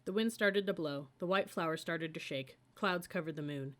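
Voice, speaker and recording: A woman's voice: sits low, normal speed. A woman reading a children's audiobook as scripted story narration. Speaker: audiobook reader.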